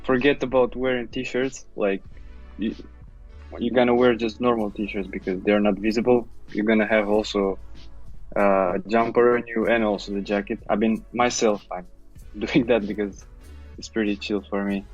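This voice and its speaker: A young man from Romania speaks in a low, easygoing voice as co-host of a casual live stream. He chuckles as he talks. The audio is dull and lo-fi, with faint music underneath. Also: fairly even intonation, normal speed.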